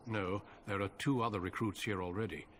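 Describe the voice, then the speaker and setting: An actor playing a grave, seasoned commander of a warrior order in a fantasy game, speaking in a formal, measured, solemn way. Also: male voice, fairly low pitch, fairly even intonation, a bit bright, slight background noise, lightly acted. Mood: grave, resolute. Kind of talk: fantasy game dialogue and scripted narration. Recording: orchestral game music behind voice. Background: music.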